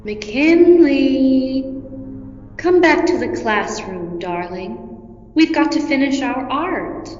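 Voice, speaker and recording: A woman acts out a cloying, controlling female schoolteacher from a horror audio drama. Her high voice swoops through sugary, patronizing coos at an unhurried pace, in a long echoing hall. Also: expressive, a little mellow. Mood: coaxing, firm. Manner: cooing, patronizing endearments. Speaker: actor. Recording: dull, echoey hall reverb over music.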